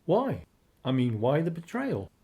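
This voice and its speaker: An actor playing an ordinary, wary husband with a dry conversational manner in a radio drama. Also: male voice, on the high side, wildly swooping pitch, measured pace, mellow, breathy edge, heavily acted. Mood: wry, suspicious.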